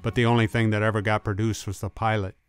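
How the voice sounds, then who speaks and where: A man's voice: fairly low pitch, little pitch movement, fast talker. A man reading scripted documentary narration in informal, conversational wording. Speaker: narrator and presenter. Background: guitar music.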